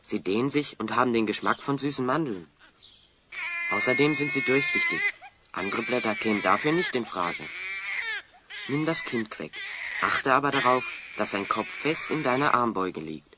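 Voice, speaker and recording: An actor playing a cheeky, practical young adventurer in a German audio drama, speaking in a casual, matter-of-fact way. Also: male voice, mid-range voice, sing-song, moderate pace, lightly acted. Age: young adult. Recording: heavy noise behind the voice.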